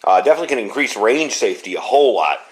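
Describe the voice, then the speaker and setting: A man's voice: mid-range voice, big pitch swings, very fast. A man giving a casual hands-on gear review indoors, explaining things in a rambling, informal way. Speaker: product reviewer. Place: indoors at home.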